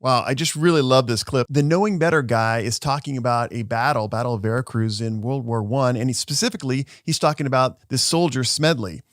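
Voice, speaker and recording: A man's voice: medium pitch, a little fast, few pauses, no room sound, studio quality. A man who works as a social media lawyer, giving an upbeat explainer and walking viewers through video clips.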